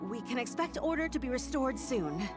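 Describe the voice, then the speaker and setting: A young security officer in a video game with a high voice. She is earnest and eager to please, deferential in her scripted lines, with loud music behind her. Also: very animated, fairly quick. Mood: official, calm.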